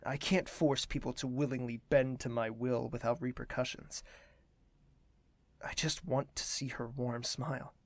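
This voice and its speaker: Male actor as weary young prince, creaky voice, dry close sound, formal, introspective, audio drama. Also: mid-range voice, fairly even intonation, measured pace, no echo, close to an everyday voice. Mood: wistful, tired. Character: weary, burdened young prince hosting a royal ball.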